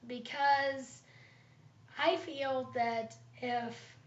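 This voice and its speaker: Woman student presenter, slightly raspy, pitched a bit high, pauses with ums; dull, roomy home recording. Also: steady tone. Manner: thoughtful, with frequent ums and pauses. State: slightly raspy from pollen allergies. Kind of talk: class presentation, leadership analysis.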